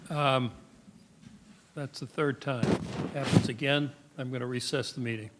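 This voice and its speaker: A man's voice: medium pitch, steady tone, a little slow. A man chairing a meeting, curt and procedural, calling speakers. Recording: distant mic with clicking noise.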